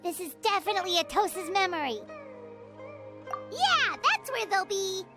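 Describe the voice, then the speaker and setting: A woman's voice: extremely high pitch, big pitch swings, moderate pace, loud music behind the voice, full character voice. A woman voicing a small, childlike, chatty fairy companion in a video game, eager and full of exclamations. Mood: excited.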